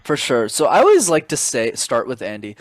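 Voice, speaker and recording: A man guesting on a podcast as a public speaking coach, talking in an animated, motivational way and often calling the host by name. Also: medium pitch, fairly quick, dry recording, clean studio sound. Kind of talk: casual interview chat.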